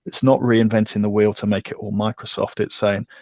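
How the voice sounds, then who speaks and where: A man's voice: on the low side, flat delivery, fairly quick, darkish tone, dry recording, dull audio. A man explaining technical subjects conversationally as an interview guest, talking in a discursive way. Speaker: podcast guest, technical architect.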